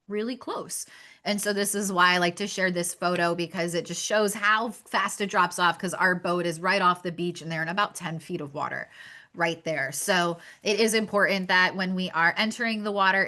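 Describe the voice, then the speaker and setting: A woman's voice: medium pitch, pushed and bright. A young woman from Pennsylvania presenting shark research in a webinar, earnest and stumbling on word restarts. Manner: earnest, frequent stammered restarts.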